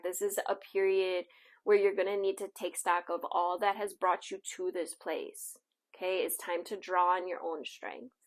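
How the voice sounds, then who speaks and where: A woman hosting a tarot and astrology reading in a casual, conversational way, often checking in with the listener and offering reassurance. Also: mid-range voice. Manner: conversational, reassuring asides, frequently checks in with "okay". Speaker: tarot and astrology reader, show host. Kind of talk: casual intuitive oracle card reading.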